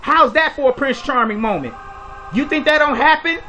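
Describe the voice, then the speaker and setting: High, bright-voiced man lecturing bluntly. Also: moderate pace, faint music behind. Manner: preachy, blunt, lecturing with rhetorical questions. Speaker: commentary video host. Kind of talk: opinionated commentary monologue.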